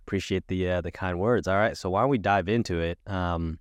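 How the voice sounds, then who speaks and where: A man's voice: deep voice, fairly quick, no echo, clean studio sound. A man hosting a podcast, talking in an easygoing way with many hesitations. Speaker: podcast host. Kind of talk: casual interview talk.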